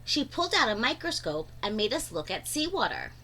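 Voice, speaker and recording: Female storybook reader, high bright voice, expressive and dramatic delivery for a young audience. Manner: animated, dramatic emphasis with drawn-out words. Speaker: teacher reading aloud to children. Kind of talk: expressive storybook read-aloud.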